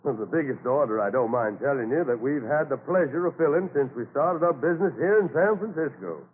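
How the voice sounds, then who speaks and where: A narrow old radio recording of a talkative American merchant. His voice is rough and husky, bouncing up and down with businesslike curiosity. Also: medium pitch, lively intonation, brisk. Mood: pleased. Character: chatty, inquisitive lumber merchant of the old West. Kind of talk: old-time radio drama acting.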